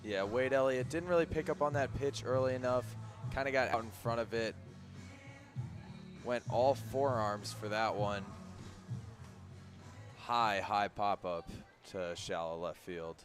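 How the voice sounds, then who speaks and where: A man giving relaxed, analytical color commentary on a baseball radio broadcast. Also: medium pitch, measured pace, long pauses, music in the background. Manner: relaxed, opens with "yeah", analytical.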